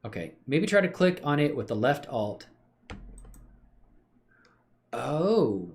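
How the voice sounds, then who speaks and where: Man, livestream host, casual chat, slangy, appreciative, thinking aloud, medium pitch, highly animated intonation. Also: takes it slowly. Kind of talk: casual chat with live viewers.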